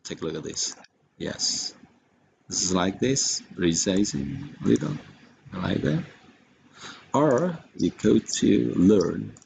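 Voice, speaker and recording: Slow, low-pitched Indonesian-accented man. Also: lively intonation, creaky, darkish tone, slight background noise, rough recording. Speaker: software tutorial presenter. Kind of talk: unscripted screen-recorded tutorial.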